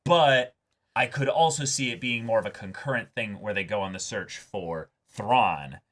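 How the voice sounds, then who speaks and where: Bright-voiced man in casual fan discussion. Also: on the low side, moderate pace. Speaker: co-host of a TV reaction and discussion show.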